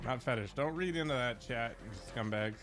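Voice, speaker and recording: A man's voice: mid-range voice, moderate pace, over music. A man streaming a video game, chatting casually and crudely with his viewers and poking fun at himself. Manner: joking, crude, self-mocking. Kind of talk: casual gameplay commentary to chat.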